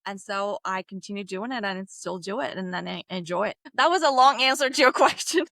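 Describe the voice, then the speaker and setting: A woman's voice: medium pitch, fairly quick, creaky, dry recording. Woman, dry close sound. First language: Bulgarian. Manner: animated, laughs often, many restarts. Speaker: guest author. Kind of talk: casual interview answers.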